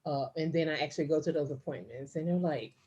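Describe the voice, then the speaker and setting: A woman's voice: low voice, fast, warm tone, slightly rough, highs cut off. A middle-aged woman co-hosting a podcast, telling stories casually with lots of fillers and restarts. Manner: storytelling, many fillers and restarts. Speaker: podcast co-host. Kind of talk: casual chat.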